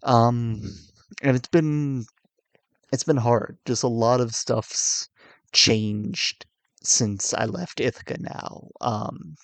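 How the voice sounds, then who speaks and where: A tired young man in his twenties, coming down with a cold, with a low raspy voice, talking hesitantly and candidly to his viewers. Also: takes it slowly, no room sound. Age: 20s. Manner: hesitant, soft-spoken, many fillers and restarts. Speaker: YouTuber. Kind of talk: candid confessional vlog.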